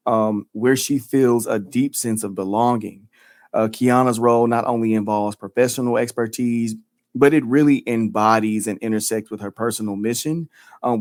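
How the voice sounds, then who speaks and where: A man's voice: fairly low pitch, a little mellow, no echo, studio quality. A man co-hosting a podcast who talks in a playful, chatty way with lots of fillers. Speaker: podcast co-host. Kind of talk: casual podcast chat.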